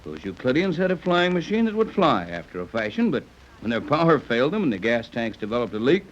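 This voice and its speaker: Man acting a plain-spoken, animated radio-serial pilot captain. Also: mid-range voice, fast talker, slight background noise, close to an everyday voice. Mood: matter-of-fact. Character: modest, practical, seasoned pilot captain. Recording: dull old 1930s radio recording.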